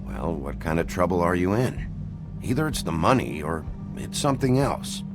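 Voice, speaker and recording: An actor playing a gruff, impatient investigator in a video game drama, blunt and confrontational. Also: male voice, low-pitched, big pitch swings, normal speed, music in the background. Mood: dry suspicion. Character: gruff, impatient, hard-boiled male investigator.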